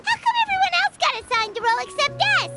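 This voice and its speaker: A woman playing a tiny, childlike, pouty fairy companion in a video game, squeaky and excitable. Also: quick pace. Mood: indignant. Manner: indignant, refers to self in third person. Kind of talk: video game character acting. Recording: music behind the voice.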